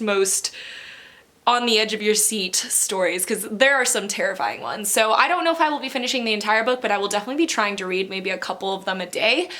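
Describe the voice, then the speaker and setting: A woman chatting casually to camera as a book vlogger, gushing and excitable, repeating words for emphasis. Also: mid-range voice. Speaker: book vlogger, channel host.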